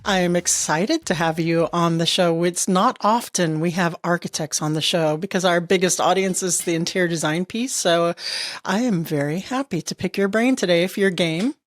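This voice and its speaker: Upbeat florida woman hosting a podcast, kinda low voice, dry sound. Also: moderate pace, dry recording. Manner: upbeat, playful, loose. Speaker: podcast host. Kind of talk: casual interview chat.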